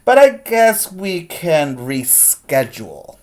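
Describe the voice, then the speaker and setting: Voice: medium pitch, very animated, slow pace. A storyteller voicing a patient, playful grandmother coaxing a grumpy child in a read-aloud storybook.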